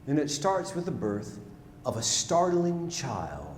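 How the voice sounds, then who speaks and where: A male storyteller performs a rhyming ballad poem live in a theatre. His delivery is deliberate and dramatic, moving animatedly from raised cries to hushed lows. The hall rings with long reverb, and there is some noise in the recording. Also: wildly swooping pitch. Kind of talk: theatrical rhyming verse recitation on stage.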